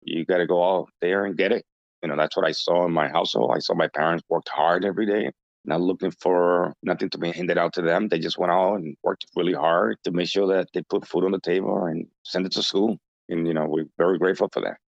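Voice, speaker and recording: Deep-voiced man in his fifties. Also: fairly even intonation, brisk, creaky, dry recording, highs cut off. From Dominican Republic, raised in Massachusetts from age eight. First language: Spanish. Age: 50s. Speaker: interview guest. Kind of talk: conversational interview answers, personal reminiscence.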